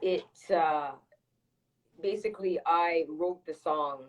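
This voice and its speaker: A woman's voice: sits low, takes it slowly, poor audio. A woman from Toronto, a singer-songwriter guest, talking candidly and casually in an interview and restating her points emphatically. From Toronto, Canada.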